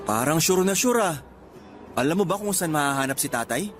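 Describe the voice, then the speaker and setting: An actor playing an excited young Filipino traveller in a radio drama, speaking in an earnest, conversational Filipino accent. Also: male voice, fairly high pitch, brisk, faint music behind, close to an everyday voice. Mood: curious, teasing. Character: excited young Filipino man on first trip abroad.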